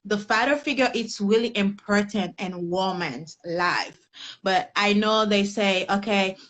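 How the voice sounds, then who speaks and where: A woman's voice: mid-range voice, measured pace, a bit bright. A young adult woman from Haiti with a Haitian accent, speaking earnestly as an interview guest in a conversational chat. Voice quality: non-native English grammar, earnest. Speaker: guest, personal development coach.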